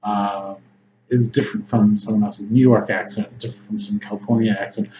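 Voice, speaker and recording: A man's voice: fairly low pitch, level tone, a little fast, warm tone. A man with a Chicago accent, an English tutor giving an informal lesson to camera, often checking in with "right?" and "okay?". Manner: conversational, frequent "right?" and "okay?" check-ins, many ums. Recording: slightly dull lo-fi audio with faint noise.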